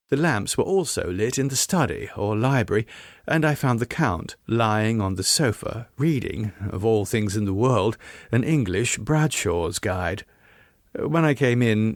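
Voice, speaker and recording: A man narrating a gothic novel as an audiobook, in a measured, formal storytelling manner. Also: lowish voice, no room sound, clean studio sound.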